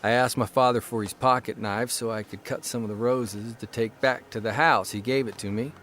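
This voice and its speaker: A man playing an earnest, literate grown farm son, reading a measured, reflective memoir monologue in an audio drama. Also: medium pitch, steady tone, a bit bright, a little hiss or hum, close to an everyday voice. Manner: measured, reflective, precise wording.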